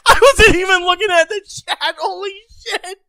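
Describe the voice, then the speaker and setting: A man streaming, giving crude, casual reaction commentary, swearing constantly and breaking into loud laughter and shouts. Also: very high-pitched, unhurried, dry recording.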